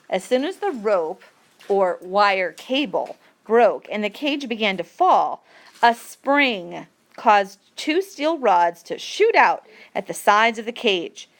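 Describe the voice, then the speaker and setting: A woman reading an educational passage aloud as a teacher, with deliberate, measured phrasing for learners. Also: medium pitch, a bit bright. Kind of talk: read-aloud educational narration.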